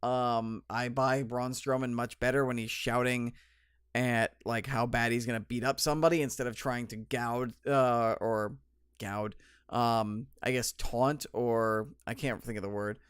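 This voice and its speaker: A man's voice: medium pitch, fairly even intonation, a little slow, fairly bright tone, clear, resonant tone, no echo, studio quality. A young man with a slight cold hosting a solo podcast, reviewing wrestling in a rambling, enthusiastic way with many fillers. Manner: rambling, lots of ums and restarts, enthusiastic.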